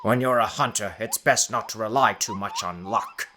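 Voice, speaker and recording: A man's voice: fairly low pitch, animated, normal speed, pushed and bright, slight background noise. A man voicing a menacing big-game hunter colonel in an audiobook, curt, scornful and commanding. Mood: cold, scornful. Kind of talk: audiobook character acting.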